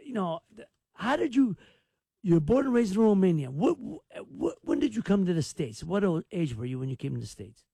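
A male interviewer with a high, swooping voice that creaks at the edges. He chats casually and excitably, stumbling over repeats as he goes, and the sound is close and dry. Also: normal speed, no room sound. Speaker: show host, interviewer.

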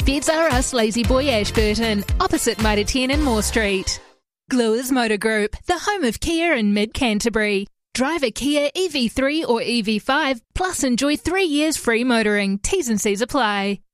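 A woman reads a radio ad in an upbeat sales tone, her voice a little higher than usual. Clear music plays behind her throughout. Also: lively intonation, normal speed. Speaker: radio ad voice-over. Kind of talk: scripted ad read. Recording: voice over music bed.

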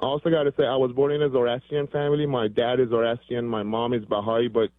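A man's voice: mid-range voice, flat delivery, fast talker, no echo. A man who grew up in Iran, calling into a radio show, fervent and earnest. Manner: earnest, fervent, uses comparisons. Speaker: caller. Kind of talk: phone-in call. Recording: dry phone line.

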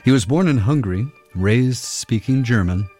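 A man's voice: medium pitch, animated, moderate pace, warm tone, soft background music. A man hosting classical radio, giving a wry, conversational scripted introduction to a piece.

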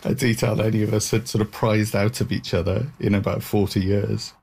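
A middle-aged man from Wales with a very low, husky voice. He tells stories thoughtfully, with small restarts and hesitations, as a guest being interviewed. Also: flat delivery, fairly quick, a little mellow. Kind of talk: reflective interview answers.

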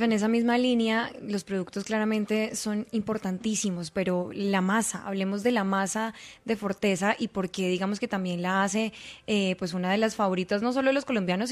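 A woman interviewer asking a chef polite, direct questions at a medium pitch.

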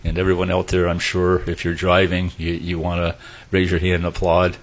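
A man's voice: deep voice, monotone, fairly quick, slight background noise. An older man hosting a radio show, folksy and joking in casual chat. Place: radio studio.

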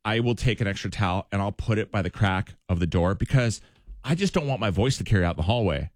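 A man hosting a morning radio show, chatting playfully with a big booming voice. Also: expressive, quick pace, no echo, clean studio sound. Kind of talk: casual morning radio banter. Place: radio studio.

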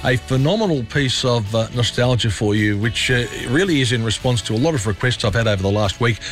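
A man's voice: mid-range voice, fairly quick, soft background music. A man with an Australian accent hosting an evening talk radio show, his voice slightly scratchy from a chest cold, talking listeners through the news in a conversational way. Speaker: radio host. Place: radio studio.

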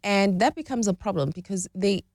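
A woman's voice: medium pitch, lively intonation, a little fast, darkish tone, no room sound, studio quality. A woman in her forties, explaining relationship dynamics as a guest in a conversational interview, often checking in with "right?". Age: 40s.

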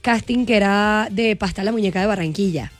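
A woman's voice: mid-range voice, a little fast, faint music behind. A woman with a Cali accent hosting a radio show, chatting casually and ranting with strong opinions. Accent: Colombian, from Cali. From Cali, Colombia. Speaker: radio host.

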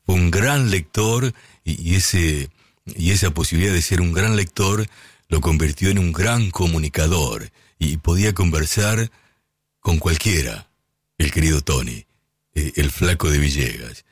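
A man hosts a radio program, speaking in a very low, husky voice. He talks steadily, dotting his sentences with hesitation sounds. The sound is dry and close to the mic. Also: animated, measured pace, no room sound. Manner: warm tribute, many filler sounds. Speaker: radio host. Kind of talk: radio presentation.

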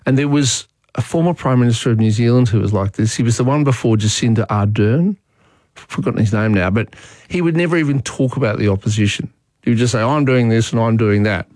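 A man's voice: medium pitch, fairly quick, darkish tone, no echo. A middle-aged man hosting talkback radio, chatting and joking in a loose way, with plenty of restarts. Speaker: radio talk show host. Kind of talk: casual talkback radio chat. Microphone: studio microphone.

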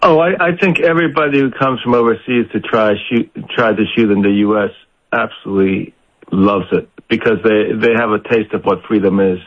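A man's voice: lowish voice, a little fast, highs cut off. A man speaking as a guest on a radio show, giving his opinions with some hesitant starts. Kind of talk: casual conversation.

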